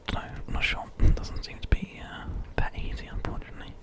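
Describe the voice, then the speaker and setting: British male ASMR host for game commentary; constant breathy whisper, gentle and unhurried. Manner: soft, gentle, unhurried rambling.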